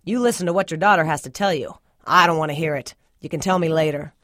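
Woman doing a gruff, defensive country dad. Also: deep voice, fast talker, a bit bright, no room sound, clean studio sound. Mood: stern, agitated. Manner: blunt, folksy, dismissive. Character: gruff, defensive hard-drinking rural father. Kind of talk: audiobook character dialogue.

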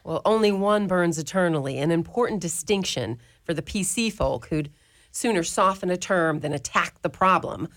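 A woman playing an outspoken elderly mother superior of a convent in a community radio play, talking brisk and firm. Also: on the low side, lively intonation, a bit bright. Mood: wry. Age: older. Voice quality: brisk, firm and preachy, with ready laughs. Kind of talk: community radio drama acting.

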